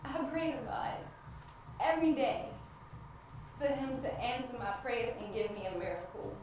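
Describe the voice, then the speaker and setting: A high-voiced woman heard from far off in a very echoey hall with faint noise. She is an actress playing a devout wife, anguished yet hopeful, delivering an emphatic theatrical monologue to the audience with dramatic pauses. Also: lively intonation, lightly acted. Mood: pleading. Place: stage play in a large echoey room.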